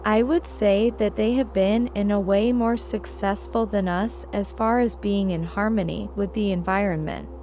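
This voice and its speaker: A woman's voice: mid-range voice, fairly even intonation, normal speed, hardly any pauses, mellow, faint music behind. A woman reading inspirational quotations as scripted narration.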